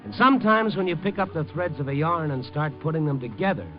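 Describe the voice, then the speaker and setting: An American actor playing a world-weary, wisecracking night reporter in wry 1950s radio noir style. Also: male voice, on the high side, lively intonation, fairly quick, natural voice. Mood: wry, brooding. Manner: wry, hard-boiled, colloquial. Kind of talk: 1950s radio noir first-person narration. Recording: dull old radio recording, music behind.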